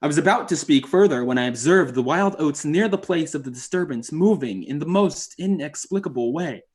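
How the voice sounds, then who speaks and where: A man's voice: a little high, lively intonation, fairly quick, darkish tone, close to an everyday voice. A man playing a hunter who narrates an eerie encounter in formal, dramatic old time radio style. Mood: uneasy wonder. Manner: formal, literary storytelling with dramatic emphasis. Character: male hunter recounting an eerie encounter, rattled but articulate.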